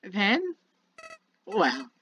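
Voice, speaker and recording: A woman's voice: mid-range voice, sing-song, extremely slow, pushed and bright, full character voice. A woman voicing a sinister, childlike talking flower from a video game, bitter and manipulative, delivering a theatrical monologue that moves between sweet musing and menace. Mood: confiding, scheming. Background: prominent music.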